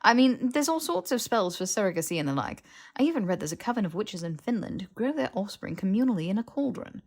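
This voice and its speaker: A woman's voice: mid-range voice, big pitch swings, fast talker. Lady doing a bossy bookish young witch, precise n wordy, exasperated, sensible, audiobook character. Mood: flustered.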